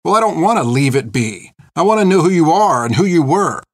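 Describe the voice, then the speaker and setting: A man's voice: mid-range voice, sing-song, a little fast, no echo. An inquisitive young girl, voiced by a male narrator, stubborn and earnest in her questioning. It is an audiobook character part, recorded dry and close. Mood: insistent, frustrated.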